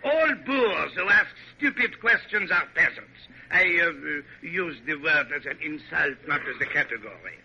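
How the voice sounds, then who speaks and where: An actor playing a proud, temperamental French major and artist in a theatrical classic radio drama, with a French accent and an indignant, haughty manner. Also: male voice, on the high side, expressive, moderate pace, creaky, few pauses, pushed and bright, a little hiss or hum, full character voice. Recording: dull, lo-fi old radio recording.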